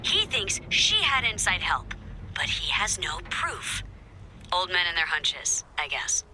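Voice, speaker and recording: Bright, spirited young-sounding resistance leader. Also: female voice, fairly low pitch, sing-song, slow, a little hiss or hum, exaggerated voice, rough recording. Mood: confiding. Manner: heartfelt, informal, rallying. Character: spirited female resistance leader, earnest and hopeful. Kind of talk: scripted game character dialogue.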